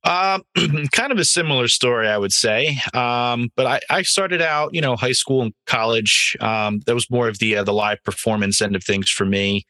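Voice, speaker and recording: A man answering casually as an interview guest, pausing between short phrases. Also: mid-range voice, bright, forceful tone. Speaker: podcast guest, sales manager.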